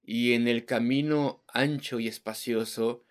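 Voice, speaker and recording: A man's voice: mid-range voice, steady tone, a little slow. A man preaching an evangelistic sermon, driving his points home with rhetorical questions and repeated words in lists.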